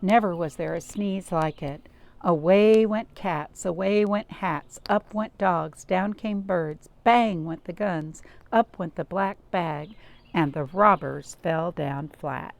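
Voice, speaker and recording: Low-voiced woman hosting children's storytime. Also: slow, vocal fry. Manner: simple, repetitive phrasing with questions to young listeners. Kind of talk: read-aloud storytelling and craft talk for young children.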